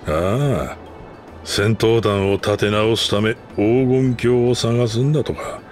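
A man voicing a gruff, grumbling tavern customer trading rumours in a video game. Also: lowish voice, takes it slowly, a little mellow, faint music behind. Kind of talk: video game cutscene acting.

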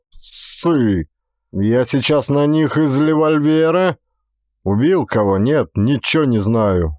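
A man's voice: medium pitch, darkish tone, dry recording, studio quality. A man voicing a stolid, sly peasant Cossack daredevil who plays innocent, with serious rustic folk speech, in a dramatised audiobook. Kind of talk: dramatised audiobook character acting.

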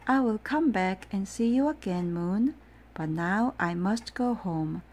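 A woman voicing a gentle, muddled little male owl in a storybook read-aloud, plaintive and earnest. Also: mid-range voice, animated, slow pace.

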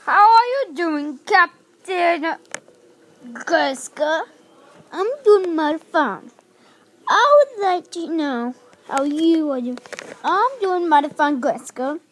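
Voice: sits high, expressive, slow, pauses often. A child improvising a pirate puppet show, acting out the characters and chanting in a sing-song way.

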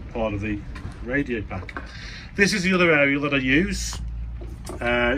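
A middle-aged man with a British accent and a mid-pitched voice gives a casual hands-on demonstration. He thinks aloud, hesitating as he goes. It is recorded on a handheld camera in a small indoor workshop, with noticeable background noise. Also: fairly bright tone. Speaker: hobbyist presenter. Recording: noisy room ambience, handheld camera. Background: clear background noise.

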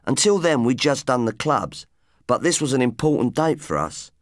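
A man's voice: medium pitch, very animated, normal speed, no room sound, clean studio sound, close to an everyday voice. A man voicing an earnest, wistful, washed-up rock musician in his late twenties, as audiobook dialogue. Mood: earnest, haunted.

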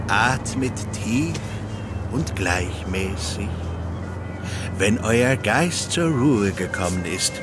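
An actor playing an eccentric puppet-maker and peacemaker in an audio drama, speaking theatrically and placatingly. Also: male voice, fairly low pitch, animated, drawn-out words, over music. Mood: soothing guru. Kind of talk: German children's audio drama acting.

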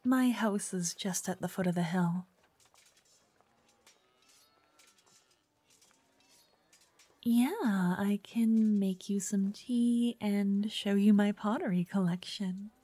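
Woman acting a soft-voiced, pushy, obsessive fangirl. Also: mid-range voice, unhurried, hardly any pauses, breathy edge, dry recording. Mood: flirty, coaxing. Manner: chatty, coy, insistent, slipping into whispers. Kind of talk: ASMR roleplay.

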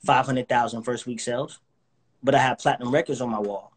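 A man in his thirties, calling in to a live video chat from his living room. He talks with confidence and a preacher's drive, at a medium pitch. The sound is plain phone or webcam audio. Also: steady tone, brisk, a little hiss or hum. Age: 30s. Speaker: guest artist. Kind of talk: casual interview over live video. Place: indoors, living room with couch.

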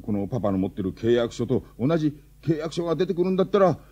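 A man playing an excitable, defensive, hapless middle-aged father in a Japanese radio drama. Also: on the high side, fast, husky, highs cut off. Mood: resigned, bitter.

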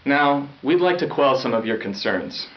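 A man's voice: mid-range voice, animated, moderate pace, very noisy, natural voice. Man acting an agreeable teenage school news anchor, lo-fi. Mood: reassuring. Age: young adult. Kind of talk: scripted school news presenting, acted. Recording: dull lo-fi 1990s VHS sound.

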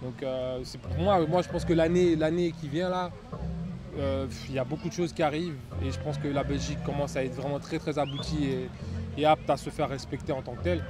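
Young male interviewee, laid-back and rambling, a bit high-pitched, outdoor park, loud music in background. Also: a little fast. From Haiti. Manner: relaxed, rambling with many fillers. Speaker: interviewee, beatboxer and MC. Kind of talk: casual interview chat.

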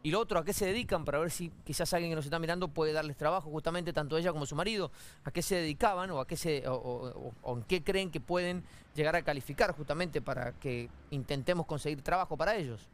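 A man serving as studio host, putting questions to a reporter in a quick, direct way. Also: on the high side, slight background noise. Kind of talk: live news studio talk.

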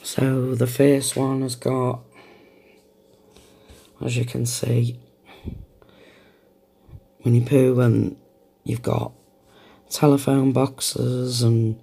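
A woman's voice: rumbling low, level tone, very slow, gravelly, pauses often, mellow, a little hiss or hum. A woman with a cold chatting casually and apologetically as she shows off craft supplies at her home desk, recorded on a camera set on the desk. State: has a cold. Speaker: craft vlogger. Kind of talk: casual haul show-and-tell.

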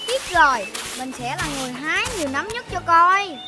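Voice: very high voice, expressive, a little fast. A performer voicing a greedy, boastful, chubby young animal in a children's cartoon, with a southern Vietnamese accent. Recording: music behind the voice.

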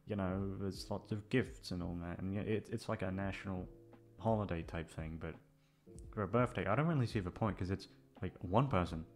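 A man in his twenties chatting casually and hesitantly to listeners, self-deprecating and swearing freely as he rambles. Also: sits low. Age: 20s. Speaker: voice actor addressing listeners. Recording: music playing behind the voice. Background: background music.

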